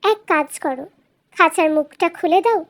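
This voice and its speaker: A woman's voice: very high-pitched, normal speed, pitched above the performer's own voice. A woman voicing a clever, cheeky little talking bird in a storybook cartoon, with a theatrical, coaxing and taunting manner. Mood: coaxing, sly.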